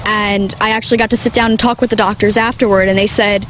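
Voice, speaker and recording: A woman's voice: mid-range voice, flat delivery, fast talker, a little hiss or hum, rough recording. A young woman, around her late teens, giving earnest interview answers for a news report. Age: teenager or young adult.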